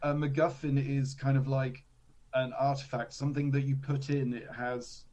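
A man, a slightly hoarse guest chatting casually about tabletop games, with self-deprecating asides. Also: medium pitch, little pitch movement, normal speed, mellow. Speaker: guest, tabletop game designer. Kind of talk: casual interview chat.

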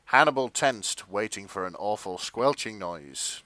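A young man with a slightly deep voice narrates fan fiction as comedy. He reads it as a dramatic story, and the sound is dry with no room around it. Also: expressive, a little slow, sharp tone, no echo. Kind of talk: comic dramatic reading.

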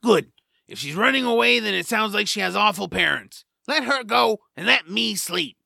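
A grumpy vain troll for a kids fantasy audio drama, bright forceful voice, swoops around. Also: male voice, high voice, lively intonation, normal speed, gravelly, no echo, studio quality, heavily acted. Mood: dismissive. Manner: cranky, pompous. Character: grumpy, lazy, vain male adult troll.

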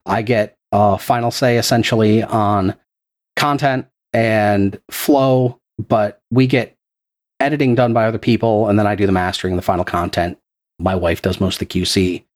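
A man's voice: on the low side, fairly even intonation, moderate pace. A man who produces a podcast and runs its game, answering interview questions in an earnest, explanatory way with a few restarts, speaking into a podcast microphone. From Texas.

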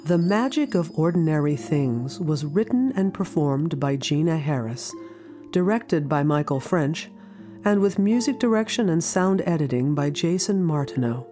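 A woman speaking scripted narration for an audio drama in an expressive, deliberate way. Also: deep voice. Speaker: writer and solo performer of an audio drama. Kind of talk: theatrical scripted monologue and narration.

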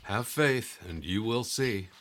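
Low-voiced, calm mysterious guide played by a man. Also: takes it slowly, slight background noise. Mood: gently probing. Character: calm, mysterious male guide, faithful and reassuring. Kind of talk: audio drama acting.

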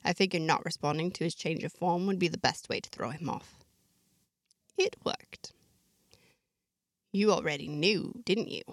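A woman narrating a first-person story in a measured, reflective, diary-like way, ending with a scripted sign-off. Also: deep voice, no echo, clean studio sound.

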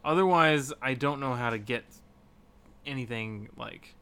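A man co-hosting a podcast, casually discussing video games and griping in an animated way with casual swearing. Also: mid-range voice, unhurried, smooth. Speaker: podcast co-host.

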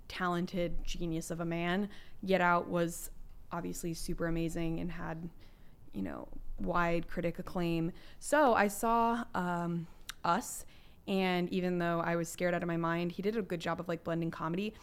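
A young woman co-hosting a casual podcast, chatty and emphatic with youthful slang, her voice a bit lowered as she recovers from flu. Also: fairly even intonation, unhurried, clear, resonant tone. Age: young adult. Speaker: podcast co-host.

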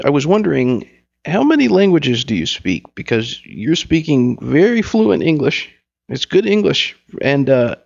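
A man's voice: on the high side, vocal fry, highs cut off. A man hosting a radio interview, asking enthusiastic, rambling questions. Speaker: radio host.

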